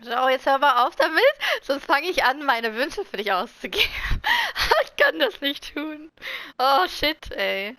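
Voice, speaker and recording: A woman's voice: sits high, lively intonation, a little slow, sharp tone, no echo. A German woman casually commenting and reacting while she plays a video game. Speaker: game streamer.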